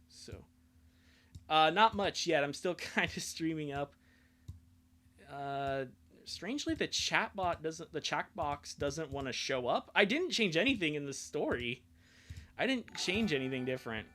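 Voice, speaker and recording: Give a casual livestreamer's ramble with a very animated pitch. The speaker gets sidetracked easily and talks in a self-deprecating, off-the-cuff way.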